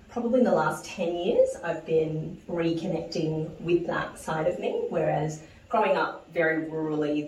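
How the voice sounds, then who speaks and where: A woman's voice: low voice, mellow, a little hiss or hum. A woman from New Zealand, a guest on an interview show, who talks reflectively, laughs often and restarts her phrases. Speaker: guest investor. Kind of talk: conversational interview. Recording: echoey room, mic at a distance.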